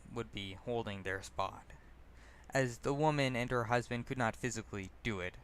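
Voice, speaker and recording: A man's voice: fairly low pitch, a bit bright, slight background noise. A man reading his own personal essay aloud as narrator, stumbling over words and correcting himself. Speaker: narrator and author.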